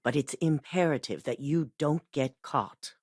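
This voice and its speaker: A woman's voice: low-pitched, unhurried, a little mellow, slightly rough, no echo, studio quality. An actress voicing a controlled, commanding middle-aged female chief executive in a video game, with a businesslike delivery. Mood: cool. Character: cold calculating female corporate CEO.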